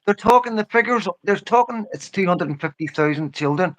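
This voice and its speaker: English man, fifties, high pitch, sharp bright tone, insistent casual opinions, repeated phrases, faint noise. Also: lively intonation, brisk, muffled recording. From England. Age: 50s. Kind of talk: casual opinionated livestream chat.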